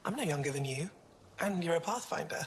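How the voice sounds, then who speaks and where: A young female alien curator from a sci-fi game, played by an actress, with a notably low voice. She is earnest, scholarly and a little wistful, speaking in a measured way. Long reverb surrounds her, as in a big hall. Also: animated, close to an everyday voice. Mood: thoughtful. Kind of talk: video game dialogue.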